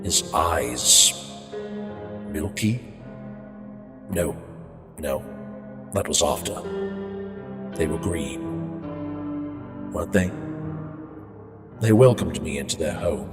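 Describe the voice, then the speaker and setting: Man playing a deep, brooding confessed killer. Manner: brooding, halting, slow, theatrical prose delivery. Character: unhinged confessed murderer narrating his haunted, dreadful tale. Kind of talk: dark dramatic horror monologue. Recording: echoey reverberant hall sound, music behind.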